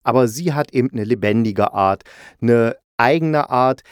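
Male podcast host, mid-range pitch, casual review style, playful, self-correcting, very little room sound. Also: a little slow, smooth, no echo, clean studio sound. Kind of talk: casual, chatty solo review.

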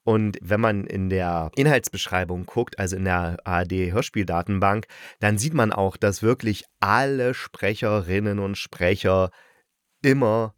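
A man with a lowish voice talks through a review in a casual, unscripted style. He sounds enthusiastic and informal, wandering a little as he explains. The sound is very dry, with no room echo. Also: a little slow, smooth. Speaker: radio play reviewer.

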